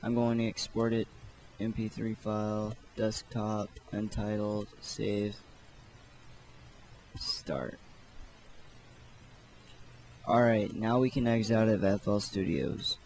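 A man gives a casual, offhand screen tutorial at a slow, unhurried pace. His voice sits a little low with a lot of fry in it, and there is faint noise behind him. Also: a little mellow, low-quality recording. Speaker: tutorial host. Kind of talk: casual software tutorial narration.

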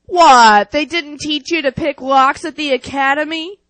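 Woman playing a high, snippy mission handler. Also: unhurried, fairly bright tone, no echo. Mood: annoyed. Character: snippy female radio support agent.

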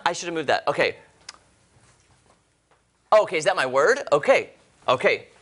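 A man in his forties hosts a TV game segment in an exuberant manner. His voice is bright and forward, and his pitch swings in a lively way. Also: normal speed. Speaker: morning show host. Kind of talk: animated TV game segment chat. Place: TV studio.

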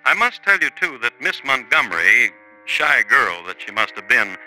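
A man playing a wry, dryly ironic crime storyteller, deliberate and theatrical, narrating in 1950s radio style. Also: medium pitch, sing-song, pushed and bright, faint music behind, rough recording. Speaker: host and narrator. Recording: vintage 1950s radio recording.

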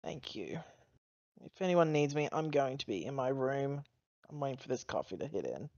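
A woman's voice: rumbling low, big pitch swings, normal speed, warm tone, dry recording, highs cut off. Female player, weary and understated, casual role-play chat, close dry sound with dulled top end.

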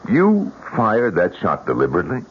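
A man's voice: on the low side, wildly swooping pitch, moderate pace, slightly rough, full character voice. An actor playing a blunt, skeptical, hard-nosed man in a 1970s radio drama, brusque and direct. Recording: muffled noisy 1970s radio broadcast.

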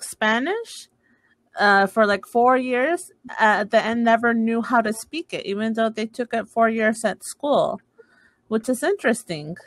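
A woman in her thirties from Texas tutors English over a headset on a video call. Her voice sits a little high and sounds dry and close. She is encouraging and keeps asking follow-up questions. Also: a bit bright, no room sound. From United States, Texas. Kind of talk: casual conversation lesson. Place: room at home, indoors.

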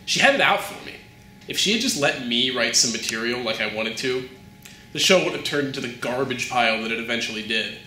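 An actor playing a vain, volatile, washed-up TV cop-show star in a mockumentary comedy, arrogant and dismissive. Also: male voice, medium pitch, animated, brisk, bright, forceful tone, roomy sound, a little hiss or hum, rough recording. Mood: bitter, self-justifying.

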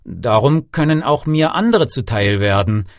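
A man's voice: mid-range voice, lively intonation, a little slow, dry recording, studio quality. A man voicing a devoted, impassioned king in a storybook tale, speaking in a declamatory manner. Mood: earnest, resolute. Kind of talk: storybook character voice.

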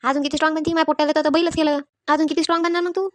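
Rapid shrill-pitched nagging mother-in-law, played by a woman. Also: little pitch movement, close to an everyday voice. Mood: scolding. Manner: complaining, scolding. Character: nagging, contrary older mother-in-law, self-important village woman. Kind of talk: cartoon comedy skit voice acting.

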